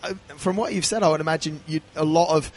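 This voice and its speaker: Higher-voiced man hosting an interview. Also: fast. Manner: encouraging, constant backchannel agreement, stumbling questions. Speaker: podcast host. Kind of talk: conversational interview.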